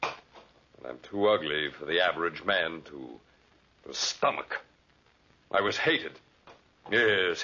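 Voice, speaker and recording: A huge, embittered outcast from a 1940s radio thriller. The voice is bottomless and creaky, with a hard, forceful edge. Every line is deliberate, theatrical and threatening, captured on a dull vintage recording. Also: male voice, sits low, heavily acted. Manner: deliberate, ominous, theatrical delivery. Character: huge, ugly, bitter, menacing hermit giant. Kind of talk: 1940s radio drama acting.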